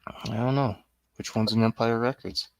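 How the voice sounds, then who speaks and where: A man's voice: mid-range voice, steady tone, moderate pace, no echo. A man in a casual film discussion, chipping in with brief, matter-of-fact remarks. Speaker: co-host or guest. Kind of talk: casual chat, film review.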